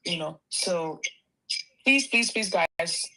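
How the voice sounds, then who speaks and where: A woman's voice: lowish voice, animated, slow pace, pushed and bright, low-quality recording. A Nigerian woman hosting a live audio discussion, speaking in an earnest, pleading way. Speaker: host.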